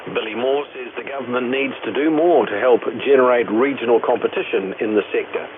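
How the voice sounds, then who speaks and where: A man's voice: mid-range voice, fairly quick. Male New Zealand newsreader on noisy shortwave. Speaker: radio news presenter. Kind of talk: scripted news bulletin reading. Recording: noisy narrowband shortwave radio broadcast.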